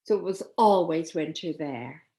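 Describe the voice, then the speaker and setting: A woman's voice: low-pitched, lively intonation, a little slow, mellow. A woman storyteller reading a children's story aloud, expressively and with dramatic emphasis.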